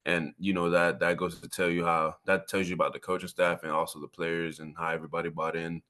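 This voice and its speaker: A young man from Miami with a very low, bright and forceful voice gives a casual interview as a college athlete. He speaks earnestly and informally, circling back over phrases for emphasis. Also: little pitch movement, a little fast. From Miami, Florida. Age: 20s. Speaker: college football player, interview guest.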